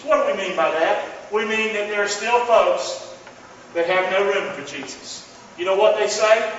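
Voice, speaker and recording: High-voiced preacher man, echoing hall. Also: sharp tone, a little hiss or hum. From North Carolina. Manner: folksy, emphatic, leads congregation call-and-response. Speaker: pastor. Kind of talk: sermon. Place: large church sanctuary. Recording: echoey hall recording from far off, dull.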